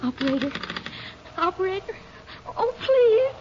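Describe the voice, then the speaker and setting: High-pitched, frantic young farm wife. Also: female voice, very animated, measured pace, heavily acted. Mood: urgent. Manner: urgent, breathless, pleading. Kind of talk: 1950s radio drama acting. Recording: muffled, faintly noisy vintage radio recording.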